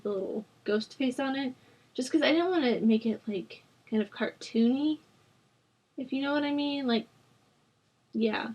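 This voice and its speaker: A young woman host rambling casually over a game build, her voice rough from a sore throat, with lots of fillers. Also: fairly high pitch. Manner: rambling, chatty, with frequent fillers. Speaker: Sims builder channel host. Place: at home.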